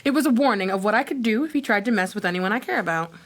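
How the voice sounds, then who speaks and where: A woman's voice: medium pitch, expressive, racing, lightly acted. Brazen madam female actor, mystery radio play, middle register, challenging, unbothered, dryly sardonic. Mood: defiant. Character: bold, cool madam, protective of her girls.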